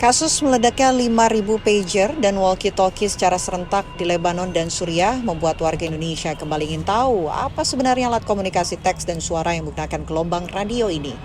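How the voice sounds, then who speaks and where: A woman's voice: lowish voice, quick pace, hardly any pauses, music in the background. Woman reading a news voice-over. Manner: steady formal reading. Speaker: news narrator.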